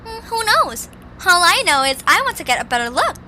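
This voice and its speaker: Very high, spirited young creature girl, woman acting. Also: very animated, brisk, pushed and bright, a little hiss or hum, exaggerated voice. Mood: eager. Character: bold young girl Zoombini, small flying creature, impatient.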